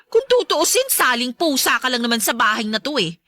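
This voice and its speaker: A woman's voice: high-pitched, big pitch swings, a little fast, pushed and bright, no room sound, full character voice. A woman playing a spiteful, brazen stepmother figure in a Filipino radio drama, with a taunting, condescending, sugary manner and a Filipino accent. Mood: smug, taunting. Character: spiteful, brazen stepmonster girlfriend of a widowed father.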